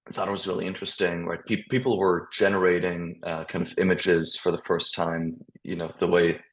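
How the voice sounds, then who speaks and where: A man in his thirties from Switzerland with an American accent, answering interview questions in a measured way with restarts. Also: sits low, flat delivery, a bit bright, low-quality recording. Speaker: guest, tech founder.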